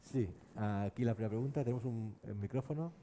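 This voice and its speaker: A man from moderating a live discussion in measured phrases broken by short hesitations. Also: mid-range voice, warm tone, slight background noise. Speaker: panel moderator. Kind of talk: spontaneous panel moderation. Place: live panel at a festival event.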